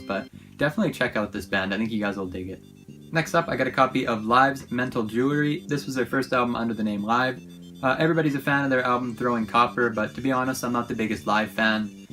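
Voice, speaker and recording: A man's voice: medium pitch, fairly bright tone. A man hosting a casual show-and-tell vlog in an informal, enthusiastic way. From Canada. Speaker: YouTube host.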